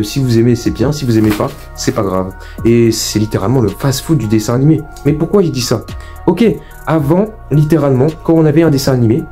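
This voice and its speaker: A man's voice: medium pitch, dark tone. A young man hosting a video essay, giving informal, opinionated commentary in an emphatic, repetitive, colloquial way.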